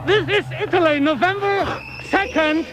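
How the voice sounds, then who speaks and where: A man's voice: very high voice, normal speed. An actor playing a dogged male expedition adventurer in a radio play, calling out in short, repeated phrases. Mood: urgent, businesslike.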